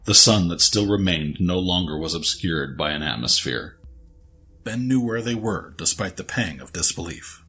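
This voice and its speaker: A man's voice: sits low, expressive, normal speed, pushed and bright. A man narrating a scripted dramatic story as the narrator of an audio drama.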